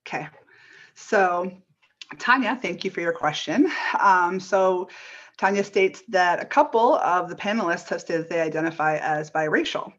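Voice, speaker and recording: A woman's voice: lowish voice, unhurried. A woman moderating a panel, friendly and reading out audience questions. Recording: dull lo-fi audio.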